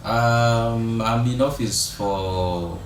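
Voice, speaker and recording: A man's voice: mid-range voice, very slow. A young man from South Sudan answering interview questions as a college student leader, hesitant with many fillers. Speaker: interview guest, student council president. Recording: echoey room, mic far off, clear noise.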